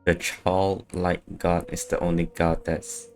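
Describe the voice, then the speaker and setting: A man's voice: low voice, monotone, slow, darkish tone. A man giving casual livestream commentary as he plays a game. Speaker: game streamer. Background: faint game music.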